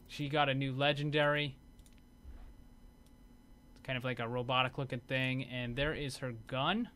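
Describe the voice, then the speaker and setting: Bright-voiced man doing casual gaming commentary. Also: medium pitch, a little hiss or hum. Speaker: gaming video host. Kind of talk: casual commentary over game footage.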